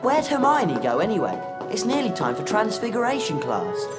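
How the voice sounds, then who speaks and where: A man's voice: sits high, wildly swooping pitch, moderate pace. A young male actor playing an eager, friendly schoolboy wizard in a video game. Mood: brisk. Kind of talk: video game character acting. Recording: loud music behind the voice.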